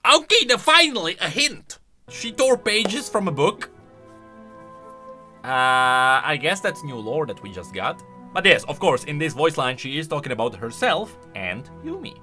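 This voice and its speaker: A man giving casual reaction commentary as a video host, enthusiastic and halting as he thinks aloud. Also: a little high, big pitch swings, pushed and bright, faint music behind.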